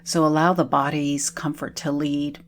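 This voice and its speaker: A woman leading a guided meditation in a soothing manner, leaving long pauses between phrases. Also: low-pitched, little pitch movement, a little hiss or hum.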